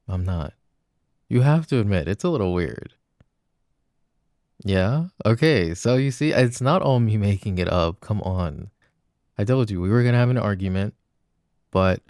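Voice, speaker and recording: A jealous, insecure boyfriend, played by a man, talks in a very low voice with plenty of fry. The recording is dry and intimate, like ASMR roleplay. He pleads earnestly and haltingly, devoted beneath the doubt. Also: no room sound, heavily acted. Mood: defensive, pleading. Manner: hesitant, earnest, soft-spoken pleading. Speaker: roleplay audio voice actor.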